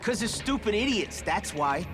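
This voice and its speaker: A man's voice: high-pitched, big pitch swings, moderate pace, over music. A man voicing a brash, hot-headed teenage mutant turtle in a video game, sarcastic and combative. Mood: cocky, combative. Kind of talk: video game cartoon voice acting.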